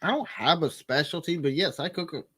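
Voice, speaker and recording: A man's voice: a little high, moderate pace, bright, forceful tone, breathy edge. A man, co-hosting a live chat in a joking, easygoing way. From Arkansas. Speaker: co-host.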